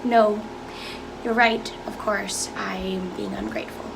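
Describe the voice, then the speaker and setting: A woman's voice: medium pitch, sing-song, slow, creaky, background noise, full character voice. A woman acting a worried, reproachful wife and mother in a musical's dramatic dialogue. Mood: resigned, hurt.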